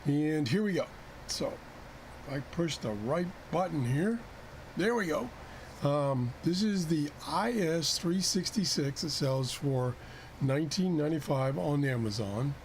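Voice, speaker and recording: Man with higher-pitched voice, noisy recording. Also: animated, unhurried. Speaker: host of a radio gadget review show. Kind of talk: casual product test report over slides. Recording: clearly noisy home recording.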